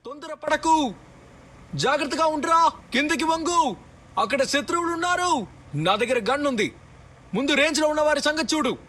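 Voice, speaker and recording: Really high sharp girl soldier in a shooter game, urgent clipped callouts, bit of background noise. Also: lively intonation, normal speed, pauses often. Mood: urgent, commanding. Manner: clipped commands. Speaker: game character voice pack. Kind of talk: short shouted tactical game callouts.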